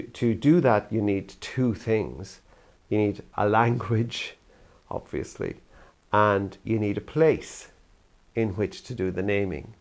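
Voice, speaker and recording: Low-voiced older Irish man. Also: a little mellow. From Ireland. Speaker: youth theatre practitioner. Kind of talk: reflective talk to camera.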